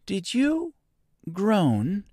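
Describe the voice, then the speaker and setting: A man voicing a timid, kind, softly spoken young girl in a storybook. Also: high voice, wildly swooping pitch, extremely slow, dry recording, studio quality, pitched above the performer's own voice, exaggerated voice. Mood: anxious, soft. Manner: timid, soft, gentle. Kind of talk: storybook character voice.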